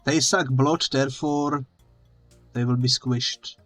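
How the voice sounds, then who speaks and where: A man who is not a native English speaker, playing a game together with a streamer and joking in relaxed, plain speech. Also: mid-range voice, slow, faint music behind. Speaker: co-op guest player. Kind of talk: casual gaming chat.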